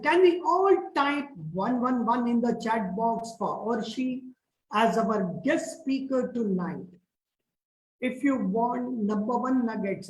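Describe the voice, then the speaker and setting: A woman's voice: a little high, echoey room, slight background noise, poor audio. A woman hosting an online talk show, introducing her guest in an upbeat, rousing way. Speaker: host.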